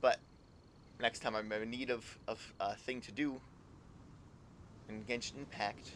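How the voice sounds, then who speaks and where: A man giving casual, halting live commentary on a game, musing aloud and trailing off into asides. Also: medium pitch, fairly even intonation, a bit bright, slight background noise. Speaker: game streamer. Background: faint game music.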